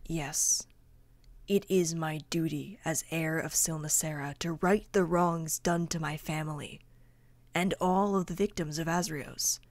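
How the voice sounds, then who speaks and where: A woman's voice: low-pitched, fairly even intonation, a little slow, darkish tone, no echo. A woman playing a haughty, vengeful royal heir and swordmaster, speaking formally in a fantasy audio drama. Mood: grave, bitter.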